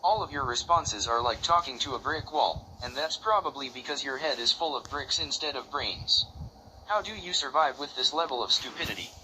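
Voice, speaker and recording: A man's voice: medium pitch, lively intonation, moderate pace, bright, forceful tone, a little hiss or hum, rough recording. A man hosting a commentary channel, mocking and insulting the person in the clips he plays back. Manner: taunting, insulting, scornful.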